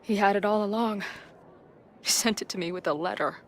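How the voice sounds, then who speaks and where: An actress playing a guarded, tender woman torn over someone she loves, speaking in a halting, hushed way in a video game drama. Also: mid-range voice, a little hiss or hum, lightly acted. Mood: reluctant, heavy.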